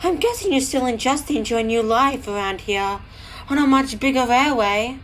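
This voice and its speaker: A woman's voice: sits high, moderate pace, slight background noise, close to an everyday voice, poor audio. A woman playing a friendly female switcher engine in an audio drama, coy and politely teasing. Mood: teasing, helpful.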